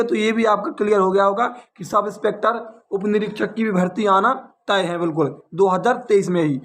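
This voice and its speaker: A man's voice: high-pitched, a bit bright. A man with a North Indian accent from Uttar Pradesh, giving students an informal explanatory update as a coaching teacher, repeating points and asking rhetorical questions. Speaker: exam coaching teacher and channel host.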